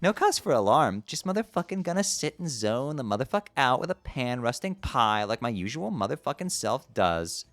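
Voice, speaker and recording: A man's voice: mid-range voice, very animated, normal speed, hardly any pauses, a bit bright, faint music behind. A man voicing a spaced-out stoner clown troll boy who drawls and swears. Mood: dopey calm. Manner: lazy drawl, swears in every phrase.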